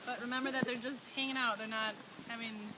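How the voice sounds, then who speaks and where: A woman with a high voice leads a children's puppet show. She narrates the story and steers the performers, recorded with very loud noise around her. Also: fairly even intonation, fairly quick. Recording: very noisy amateur room recording.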